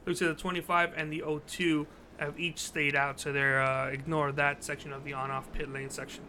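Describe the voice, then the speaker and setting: A man doing live play-by-play commentary on a sim truck race, often halting and correcting himself, apologizing for his stutter and hiccups. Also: fairly high pitch, level tone. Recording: loud engine noise behind.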